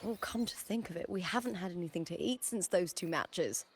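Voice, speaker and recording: An actress playing an upbeat, fiery young female arena fighter in a video game, chatty and animated. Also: lowish voice, brisk, slight background noise, natural voice. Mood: excited, eager. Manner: animated, breezy, casually chatty. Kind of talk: video game character acting.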